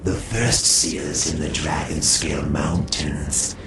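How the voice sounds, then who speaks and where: A man voicing a weary, mournful undead mage shade with solemn archaic speech in a fantasy game cutscene, gravelly and drawn-out. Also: extremely low pitch, heavily acted. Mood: ominous, resigned. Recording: loud noisy ambience.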